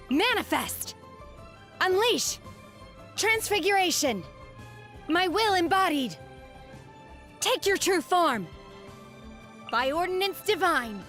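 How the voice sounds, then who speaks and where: A woman's voice: very high voice, heavily acted. A woman playing a solemn, aloof young exorcist raised by immortals, speaking formally and earnestly as a video game character. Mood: fierce, commanding. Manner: measured, stoic, formal, softly earnest.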